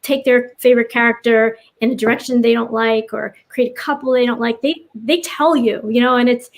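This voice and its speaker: A woman with a somewhat high voice talks as a writer being interviewed. She is earnest and conversational, rambling a little as she thinks out loud. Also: steady tone, a little fast. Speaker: writer, podcast guest. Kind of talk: casual interview chat.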